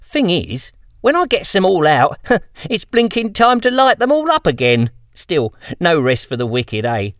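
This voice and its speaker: A man's voice: on the high side, wildly swooping pitch, a little slow, no room sound, clean studio sound, voice pushed up, exaggerated voice. A man voicing a grubby, cheeky young lamplighter boy with a chirpy, slangy way of talking.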